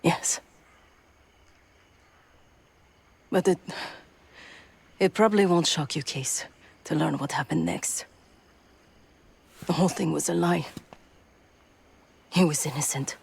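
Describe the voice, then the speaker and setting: An actress playing a guarded ex-assassin in a video game, remorseful yet coldly resolute, in a measured, hushed confessional way. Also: female voice, sits low, exaggerated voice. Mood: bitter resolve. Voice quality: husky, breathy, gravelly. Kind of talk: dramatic video game acting.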